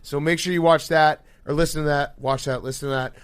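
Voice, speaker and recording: A man's voice: a little high, steady tone, fairly quick, clean tone. A man hosting a casual sports comedy podcast, joking and swearing freely. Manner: profane, joking. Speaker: podcast host.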